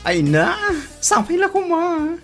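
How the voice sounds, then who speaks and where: A woman playing a pushy, encouraging best friend in an Ilocano comedy drama, with a husky voice. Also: very high voice, huge pitch swings, slow, faint music behind, heavily acted. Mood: teasing.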